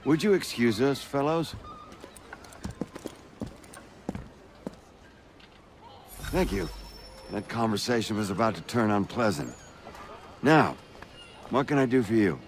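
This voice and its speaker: An actor playing a genial middle-aged colonial political organizer in a game cutscene, speaking in a measured, eloquent, persuasive way. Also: male voice, medium pitch, sing-song, a little hiss or hum, lightly acted. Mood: affable, courteous. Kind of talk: dramatic game cutscene acting.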